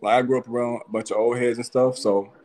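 A man's voice: medium pitch, fairly even intonation, fast talker, darkish tone. A man in his twenties from Texas, talking in a slangy, laid-back way on a live video call. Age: 20s. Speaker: caller. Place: small indoor room. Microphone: video call, phone or laptop.

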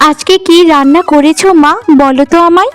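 A woman voicing a cheeky, affectionate young village girl in a cartoon, chirpy and teasing, with a high voice. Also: fairly quick, soft background music, lightly acted. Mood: pleading. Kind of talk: cartoon voice acting.

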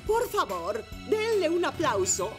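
A woman's voice: high-pitched, very animated, unhurried, over music. A woman voicing a kindly, authoritative adult motorcycle crossing guard in a children's cartoon, formal and instructive. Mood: cheerful announcing. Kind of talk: animated children's cartoon dubbing.

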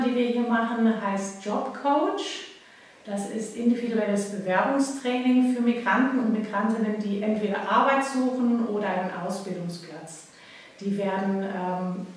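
A woman, a social worker, explaining courses matter-of-factly with frequent fillers. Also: mid-range voice. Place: office. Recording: very echoey office, mic at a distance.

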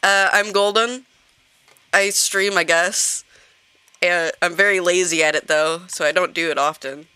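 A woman's voice: lowish voice, steady tone, measured pace, bright, forceful tone, smooth, no echo. A woman streaming a game, chatting casually with friends and laughing often between lines. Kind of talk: casual gaming chat. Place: home streaming setup.

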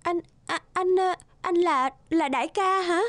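A high-voiced, fretful young woman. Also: unhurried, fairly bright tone, no echo. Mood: nervous. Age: young adult. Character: anxious, judgmental young single woman in a cartoon. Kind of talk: animated drama dialogue. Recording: studio voice over background music.

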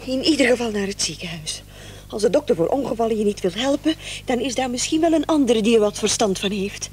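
Radio play female actor: worried, supportive wife, fairly high voice, gently urging, faint noise on old recording. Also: animated, fast, close to an everyday voice. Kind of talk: radio drama acting.

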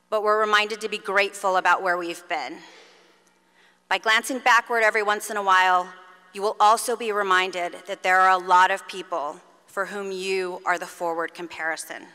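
A woman's voice: mid-range voice, bright, forceful tone, smooth. A middle-aged woman giving an earnest, encouraging commencement speech at a lectern microphone. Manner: earnest, encouraging, measured address to graduates. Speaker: faculty commencement speaker. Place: large concert hall stage at a lectern. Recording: long hall reverb.

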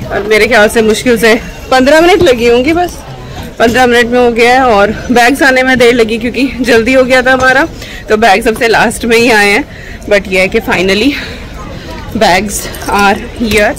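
A woman's voice: fairly high pitch, normal speed, a bit bright, slight background noise, poor audio. A middle-aged woman from India chatting casually in a travel vlog, mixing English words into Hindi, tired with a headache. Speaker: vlogger. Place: airport and then at home.

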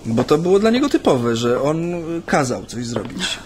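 A man's voice: medium pitch, big pitch swings, a little hiss or hum, lightly acted. Radio play role for a male actor: chatty Japanese man gone Polish, middle register, natural and hesitant delivery. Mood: earnest, reminiscing. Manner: hesitant, halting, with many hems and repetitions. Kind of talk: naturalistic radio drama dialogue.

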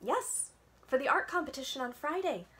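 A woman voicing an eager, excited father in a children's storybook. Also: high-pitched, normal speed, voice pushed up.

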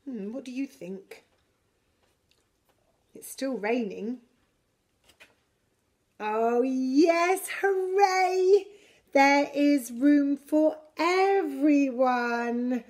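Middle-aged woman, higher-pitched voice, very unhurried, expressive preschool storytelling. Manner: slow, deliberate, expressive delivery for little children. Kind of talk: picture-book reading for young children. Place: preschool classroom, indoors. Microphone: camera a short distance away.

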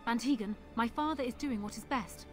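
A woman's voice: fairly high pitch, a little fast, faint music behind, lightly acted. An actress playing a composed young queen in a fantasy game. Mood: pleading, firm. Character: composed young queen defending her father. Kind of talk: dramatic fantasy game acting.

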